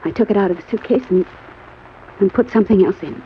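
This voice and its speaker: A woman's voice: medium pitch, fast talker, natural voice. An actress playing a scheming, earnest female accomplice who implores and professes love in an old-time radio crime drama. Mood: urgent, confiding. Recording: muffled, noisy old radio recording.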